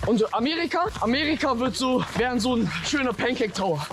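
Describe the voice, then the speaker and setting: Guy with a really high voice filming himself on a trail run, upbeat and chatty while moving. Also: lively intonation, a little fast. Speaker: long-distance runner vlogging his trek. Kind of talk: vlog narration while walking. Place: outdoors on a trail.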